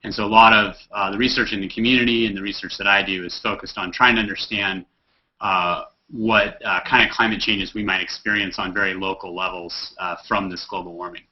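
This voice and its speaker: Low-voiced male scientist on a call. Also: fairly even intonation. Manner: measured, frequent uh fillers. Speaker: climate scientist guest. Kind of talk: explanatory video call answers. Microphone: video call. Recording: dull lo-fi video call audio.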